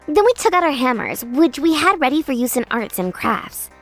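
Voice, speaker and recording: A woman narrating as an exasperated schoolgirl in a manga dub, lively and a bit sarcastic. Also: high-pitched, moderate pace, faint music behind. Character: exasperated schoolgirl narrating her class's story.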